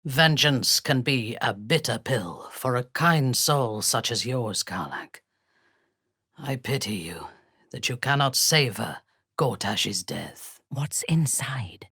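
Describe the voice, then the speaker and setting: Ruthless female cult commander, low-pitched, slow deliberate delivery, cold, disdainful, fantasy game. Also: expressive, fairly bright tone, breathy edge, no room sound, clean studio sound, full character voice. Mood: cold, hushed. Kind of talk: dramatic fantasy video game voice acting.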